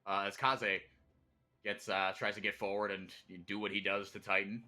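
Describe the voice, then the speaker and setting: A Brazilian-born man casting esports play-by-play from a broadcast booth, excitable and quick to shout through big plays. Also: on the low side. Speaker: play-by-play caster.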